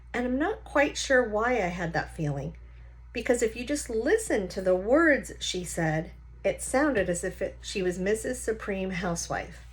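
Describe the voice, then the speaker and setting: A woman reading a children's novel aloud like a teacher, with short explanatory asides. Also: mid-range voice.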